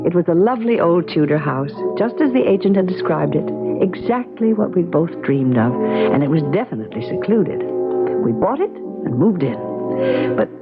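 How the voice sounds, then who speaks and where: Curious wife, low-pitched, lo-fi radio. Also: female voice, animated, normal speed. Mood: grave, reflective. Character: curious, determined American wife, narrating her ghost story. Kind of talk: radio drama acting and first-person narration. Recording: lo-fi radio drama broadcast, music behind.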